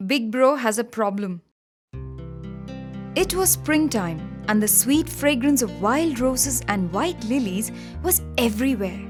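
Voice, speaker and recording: A woman reading scripted children's stories as an expressive, dramatic storyteller. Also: on the high side, takes it slowly, over music. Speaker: storyteller, narrator.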